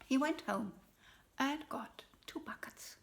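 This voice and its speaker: Slow, gentle woman storyteller. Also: high-pitched, animated, gravelly, in a whisper. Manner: gentle, unhurried telling with small pauses. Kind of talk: oral folk tale storytelling.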